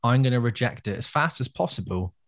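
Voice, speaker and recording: A man's voice: mid-range voice, animated, brisk, no echo. A man co-hosting a podcast, chatting casually and explaining things for listeners. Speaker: podcast co-host. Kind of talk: casual chat.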